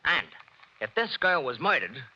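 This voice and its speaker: An actor playing a cocky, wisecracking tough detective, in 1940s radio acting. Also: male voice, on the high side, big pitch swings, unhurried, pushed and bright, breathy edge, dull audio, heavily acted. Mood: brisk. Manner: cocky, needling.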